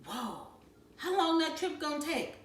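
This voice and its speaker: A woman's voice: high voice, sing-song, unhurried, echoey room, a little hiss or hum, voice pushed up. A woman voicing a brash, complaining teenage boy, an egging-on friend who exclaims in jive slang. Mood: eager.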